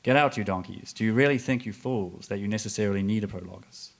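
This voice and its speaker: A man reading the part of a scornful male prologue speaker in a live stage comedy, loud and theatrical, scolding and mocking. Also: fairly low pitch, fast talker, vocal fry. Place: live performance space with audience.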